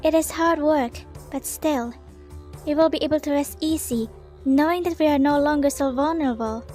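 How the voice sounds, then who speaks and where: A woman's voice: sits high, moderate pace, darkish tone, faint music behind, close to an everyday voice. A woman voicing a proud, resolute, long-lived priestess in a cartoon comic dub, with formal and stately speech. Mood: composed, resolute. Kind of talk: animated comic dub acting.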